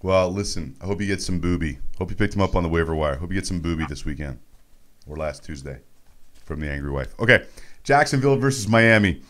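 A man in his thirties with a lowish voice hosts a sports show. He banters casually and teases his co-host, speaking into a studio microphone. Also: expressive, quick pace, fairly bright tone. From Montreal, Canada. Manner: joking, teasing. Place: radio studio. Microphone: close studio mic on boom arm.

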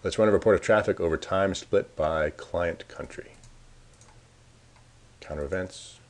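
A man's voice: low-pitched, measured pace, a little hiss or hum. A man narrating a casual screencast tutorial as the presenter, informally thinking aloud as he works. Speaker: software presenter giving a demo.

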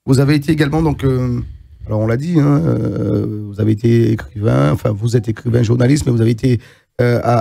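A man's voice: mid-range voice, creaky, dark tone. A middle-aged man hosting a studio TV interview, introducing his guest in a hesitant way with many fillers and restarts. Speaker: TV show host. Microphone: lapel mic.

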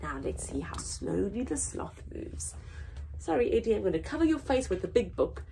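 A young woman hosting a children's story time, reading aloud in an animated, deliberate way with drawn-out emphasis. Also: mid-range voice, creaky, a little hiss or hum. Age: young adult. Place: small indoor room with painted backdrop.

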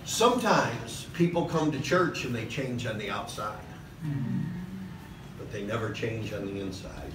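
Man preaching in an echoey church hall. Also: lowish voice. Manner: impassioned preaching, repeated "okay?" asides, slows for emphasis. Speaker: pastor. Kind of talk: sermon. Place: large church hall with podium. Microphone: far camera in the hall. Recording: echoey hall recorded from a distance with noise.

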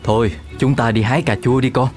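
Casting: man playing patient animal father in a kids' cartoon, mid-pitched, earnest, explanatory, music underneath. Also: steady tone, fairly quick, darkish tone, natural voice. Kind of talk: animated children's cartoon dialogue.

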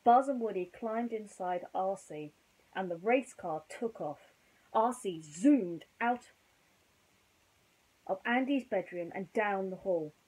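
A young woman telling a children's story informally, with a theatrical, expressive delivery. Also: medium pitch, slow, slightly rough, poor audio. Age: young adult. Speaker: bedtime storyteller. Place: small bedroom, indoors.

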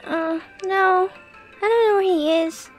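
Tiny, very high-pitched little girl. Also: fairly even intonation, takes it slowly, soft background music. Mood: puzzled. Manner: hesitant, childlike, eager. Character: innocent, cheerful very young little girl. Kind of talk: cartoon comic dub.

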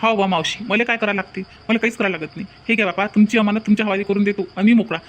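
A man's voice: sits high, steady tone, very fast, a bit bright, slight background noise. A man voicing an honest, humble young working man in a cartoon, speaking rustic Vidarbha Marathi in a plain-spoken way. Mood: earnest. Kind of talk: comedy cartoon dialogue.

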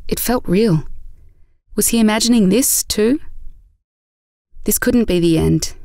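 A woman's voice: medium pitch, vocal fry, a little mellow, no echo, studio quality. A woman narrating a scripted audio drama story.

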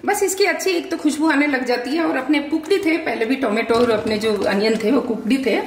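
A middle-aged woman with a fairly high voice calmly explains each cooking step. She is picked up by a camera mic from across a home kitchen. The room sounds echoey and distant, with faint sizzling from the pan. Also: fairly quick, slight background noise. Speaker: home cook, channel founder. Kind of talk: cooking demonstration. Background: sizzling and stirring in a pan.

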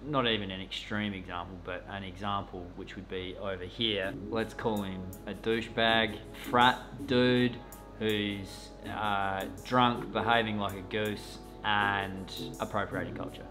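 A man's voice: on the low side, fairly even intonation, a little slow, music in the background. A man, chatting animatedly to camera as a vlogger. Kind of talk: casual talk to camera. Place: poolside villa outdoors, then living room.

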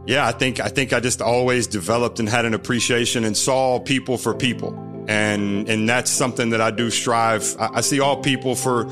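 A man's voice: mid-range voice, steady tone, moderate pace, few pauses, over music. An American man in his thirties, a podcast guest telling his life story candidly, often repeating words as he starts a sentence.

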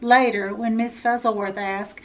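A middle-aged woman reads a picture book to children as a library storyteller. Her voice is fairly high and she takes her time. The delivery is expressive. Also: unhurried. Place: indoor room with full bookshelves.

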